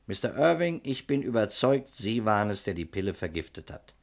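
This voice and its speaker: An actor playing a shrewd, authoritative investigator in a 1960s German radio crime drama, speaking briskly and methodically. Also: male voice, lowish voice, big pitch swings. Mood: accusing, relentless. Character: shrewd, commanding male private investigator, methodically accusing.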